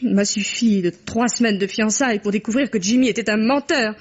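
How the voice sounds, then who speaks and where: Woman acting a husky, guilt-laden young woman. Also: medium pitch, fairly quick, close to an everyday voice. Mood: anguished confession. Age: young adult. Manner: earnest, dramatic confessional delivery. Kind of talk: old French radio mystery acting. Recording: muffled old radio drama recording.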